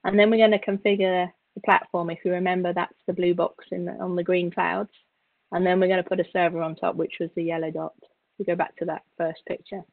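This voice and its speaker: A woman instructor giving an informal software tutorial, explaining step by step with halting, repeated words. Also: lowish voice, level tone, vocal fry, no room sound. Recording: narrow, phone-like call audio.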